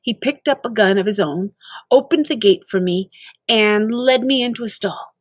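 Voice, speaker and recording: A woman's voice: medium pitch, normal speed, dry recording. An older woman reading a children's story aloud as narrator. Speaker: story reader.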